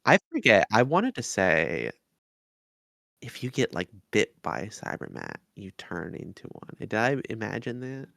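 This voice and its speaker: A man with a creaky, fairly low voice talks casually as a podcast co-host. He goes haltingly, in short phrases, and the recording is dry. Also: wildly swooping pitch, a bit bright, no echo. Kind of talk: casual review chat.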